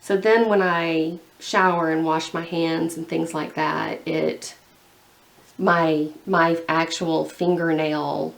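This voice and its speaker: A woman's voice: deep voice, vocal fry. A young woman chatting casually while painting her nails, rambling and full of "like". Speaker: beauty vlogger.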